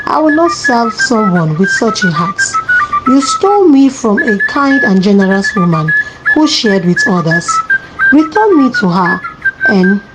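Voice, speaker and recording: Storybook magic calabash, righteous and stern, played by a female narrator, very animated pitch, formal delivery. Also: a little slow, over loud music, rough recording. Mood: stern, commanding.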